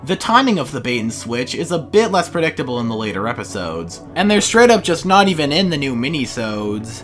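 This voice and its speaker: A man delivers scripted video essay narration in a fairly high voice with very animated intonation. His wording is informal and opinionated. Faint music plays behind him.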